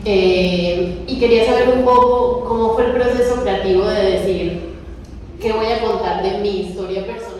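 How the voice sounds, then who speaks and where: A woman's voice: mid-range voice, fairly even intonation, normal speed, darkish tone, slight background noise. A young woman from Colombia's Caribbean coast, eagerly asking a question from the audience with apologetic laughs. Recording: echoey hall, mic from far off.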